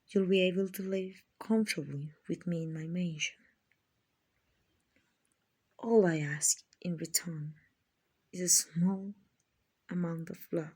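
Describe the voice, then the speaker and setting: A woman plays a gentle vampire noblewoman in an ASMR roleplay. Her voice is fairly low and husky, often sinking to a breathy whisper. She speaks very slowly, soothing and genteel, like a kind owner comforting someone. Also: full character voice. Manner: slow, soothing, genteel, endearing. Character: gentle, kindly female vampire, soothing rich slave-buyer.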